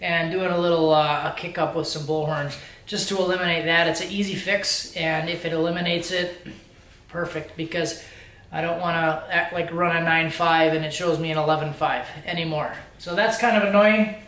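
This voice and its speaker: A man's voice: on the high side, fairly even intonation, normal speed, a bit bright. A man in his thirties talking casually to the camera in a home garage, explaining things informally as a car-build vlogger. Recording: roomy, echoing garage sound.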